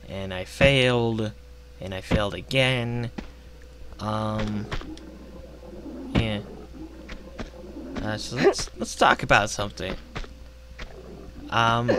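A man's voice: mid-range voice, background noise. A man who says he is losing his voice, ranting with a lot of profanity while commenting on a game. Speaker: gaming vlogger. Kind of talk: casual ranting commentary over gameplay. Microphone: gaming headset.

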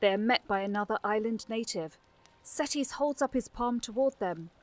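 A woman's voice: a little high, expressive, normal speed. A woman giving scripted narration for a fantasy audio drama.

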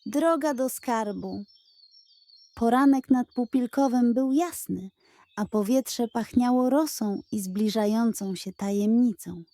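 A woman tells a children's bedtime tale at an unhurried pace. Her voice is soft-edged and mellow, on the higher side. She reads gently and expressively, as a storyteller does. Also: dry recording. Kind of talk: scripted storybook narration.

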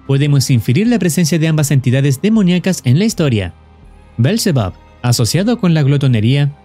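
Male narrator, scripted explainer, measured, formal, dark soft timbre, animated intonation. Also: on the high side, husky. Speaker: horror video narrator. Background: background music.

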